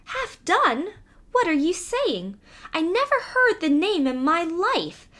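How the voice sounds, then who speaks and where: A small, naive storybook mouse played by a woman, high in pitch and swooping with wonder. She is trusting and curious, with an anxious, earnest edge to her exclamations. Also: big pitch swings, takes it slowly, pushed and bright, full character voice. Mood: astonished, troubled.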